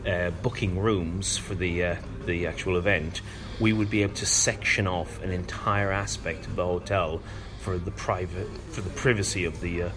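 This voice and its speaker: A man's voice: deep voice, fairly even intonation, moderate pace. A man with an Irish accent chatting casually and wryly as a podcast guest, with jokey banter. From Ireland. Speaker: podcast guest, gaming event representative. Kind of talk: casual chat. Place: hotel breakfast area. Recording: clearly noisy room ambience.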